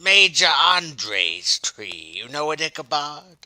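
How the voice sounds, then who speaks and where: Folksy old farm yarn-spinner, very animated, slow, drawn-out, theatrical relish. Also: male voice, fairly high pitch, no echo, exaggerated voice. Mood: spooky, relishing. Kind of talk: audio drama acting.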